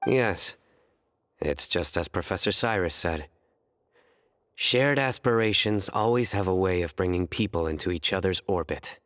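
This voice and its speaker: Deep, deadpan, solemn young man. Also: no echo, studio quality. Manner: deadpan, formal, measured. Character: solemn, stiff, deadpan young male enforcer scholar. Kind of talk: video game voice acting.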